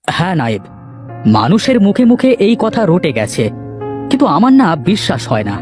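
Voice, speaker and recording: A brooding old village headman, acted out by a man for a cartoon. He speaks in a ponderous, deliberate way with lively swings of pitch, weighing his doubts, over background music. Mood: troubled, brooding. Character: worried elderly village headman, brooding and skeptical. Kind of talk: Bengali cartoon voice acting.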